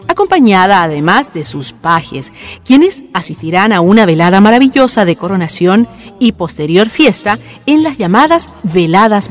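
A Chilean woman narrates a scripted radio history programme. Her pitch is middling but moves in animated swings, over a faint music bed.

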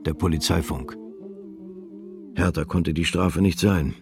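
Low-voiced male narrator, sober and measured. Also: fairly even intonation, creaky, a little mellow, soft background music. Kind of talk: literary scripted narration for radio drama.

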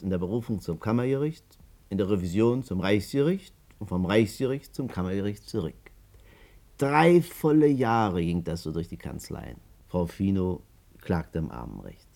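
A man's voice: low-pitched, creaky, darkish tone, exaggerated voice. A man acting a wry narrator in a 1950s radio drama, confiding a long saga to his listeners in theatrical fashion.

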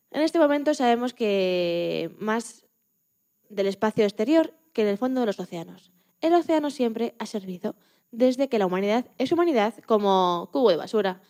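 A woman's voice: on the high side, a little mellow. A woman speaking as an interview guest, explaining things in her answers.

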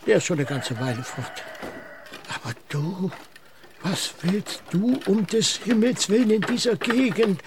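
Radio drama: old country priest, fretful and grumbling, high-pitched, slow delivery. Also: male voice, lively intonation, noisy background. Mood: anxious, evasive. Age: older. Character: fearful, grumbling old male village priest. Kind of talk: German radio drama acting.

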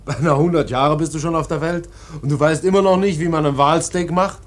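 An actor in a radio play voicing a bossy, teasing ship's mate with a gruff, mocking, commanding manner. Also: male voice, a little high, brisk, lightly acted. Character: bossy, teasing ship's mate fussy about his steak. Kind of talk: German radio play acting.

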